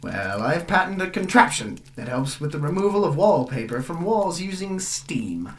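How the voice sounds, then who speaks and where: An actor playing a pompous, earnest gentleman decorator, telling his gothic comedy tale in a theatrical, melodramatic manner. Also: a little high, very animated, normal speed, slightly rough. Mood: proud, pompous. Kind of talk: theatrical first-person gothic comedy narration.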